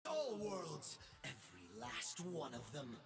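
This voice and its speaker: An actor playing a gloating, unhinged young space emperor in a video game, grandiose and arrogant, swinging between shouts and hushed menace. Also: male voice, medium pitch, wildly swooping pitch, slow pace, distant mic, a crowd in the background. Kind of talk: theatrical video game voice acting.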